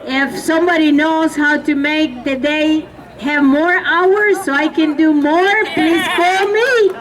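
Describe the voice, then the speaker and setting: A high-voiced woman from El Salvador gives a slow, earnest speech to a rally crowd. She pauses often and calls out to the listeners. She is recorded from a distance, with the crowd faintly audible around her. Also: steady tone. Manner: impassioned, halting, calls out to the crowd. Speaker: rally speaker. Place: outdoor rally. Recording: distant mic with crowd noise.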